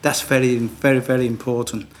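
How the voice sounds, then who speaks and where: A man whose first language is Cantonese, speaking with a Cantonese accent and answering an interviewer in halting English with many fillers. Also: mid-range voice, flat delivery. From China. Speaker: guest.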